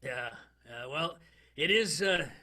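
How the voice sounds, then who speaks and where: An actor playing a wistful 1920s New York police detective in an improvised comic radio play, with halting, exclamatory speech. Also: male voice, a little high, lively intonation, pushed and bright, exaggerated voice. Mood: earnest, hesitant. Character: male 1920s NYPD detective, wistful war veteran.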